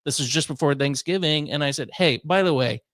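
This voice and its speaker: A man's voice: on the high side, fairly quick, a bit bright, no echo, clean studio sound. A man hosting a radio show, talking in casual conversation. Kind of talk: casual chat, airing workplace grievances.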